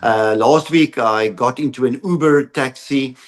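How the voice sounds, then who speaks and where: A man with a South African accent giving explanatory interview answers, in halting phrases with repeated words. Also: mid-range voice. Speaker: interview guest, advocacy organisation representative.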